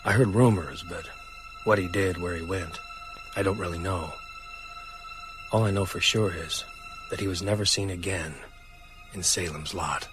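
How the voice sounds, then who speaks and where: An actor playing a sombre survivor recounting a horror story in measured, grave first-person narration. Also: male voice, low voice, steady tone, music in the background, close to an everyday voice. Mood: sombre, haunted.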